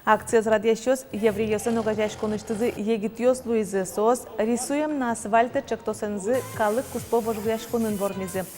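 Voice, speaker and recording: A woman reading the regional news as an anchor in a steady, scripted delivery. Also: on the high side, fairly quick, hardly any pauses. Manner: steady, even newsreader delivery. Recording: long reverb, faint noise.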